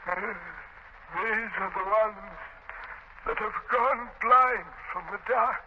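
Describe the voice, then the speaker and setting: An actor playing a fearful, despairing dungeon captive, halting and melodramatic in 1930s radio style. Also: male voice, sits high. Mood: bleak. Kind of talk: 1930s radio drama acting. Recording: scratchy muffled 1930s radio recording.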